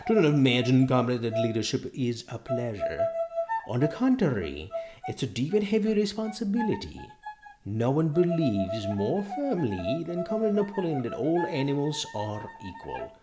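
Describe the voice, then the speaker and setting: Casting: male reader as zealous smooth-talking pig orator for a storybook, raised pitch, swooping emphatic delivery. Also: wildly swooping pitch, normal speed, over loud music. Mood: oily, emphatic. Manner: pompous, emphatic, persuasive oratory. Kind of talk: audiobook character voice.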